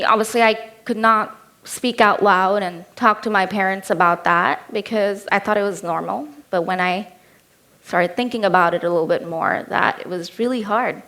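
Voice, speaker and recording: A woman's voice: mid-range voice, a bit bright. A woman in her thirties with an Indian accent, giving a keynote talk as a personal story told in a candid, lightly humorous way. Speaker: keynote speaker.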